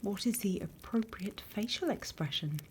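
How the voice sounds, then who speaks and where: A British woman whispering in an ASMR roleplay as a stern, dry demon instructor, breathy and close to the microphone. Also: medium pitch, lively intonation, poor audio. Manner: slow, deliberate, dry instructing. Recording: close whisper with background hiss.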